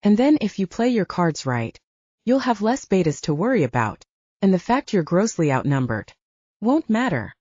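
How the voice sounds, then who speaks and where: A woman's voice: mid-range voice, very animated, moderate pace, a little mellow, slightly rough, dry recording, highs cut off. A woman voicing a blunt, snappy, wry female werewolf beta in an audiobook. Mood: insistent. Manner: snappy, wry, humorless joking.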